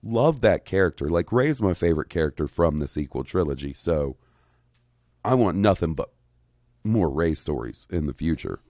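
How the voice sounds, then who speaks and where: A man in his thirties chats on a podcast in a very deep, mellow voice. He rambles, swears freely and gets worked up. The sound is dry and close. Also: moderate pace, no echo. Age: 30s. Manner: rambling, profane, excitable. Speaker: podcast co-host. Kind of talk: casual podcast chat.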